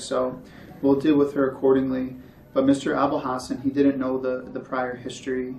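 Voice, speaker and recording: A man acting as a stern, matter-of-fact school administrator in an amateur role-play, speaking in a halting, official way. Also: medium pitch, creaky, a little mellow.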